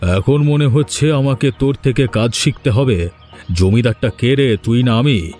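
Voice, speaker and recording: A man playing a proud, domineering village landlord in a cartoon drama, speaking with deliberate authority. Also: mid-range voice, a little mellow, faint music behind, lightly acted. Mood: stern, threatening. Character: stern, domineering village landlord, male, proud but remorseful. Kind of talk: Bengali cartoon drama dialogue.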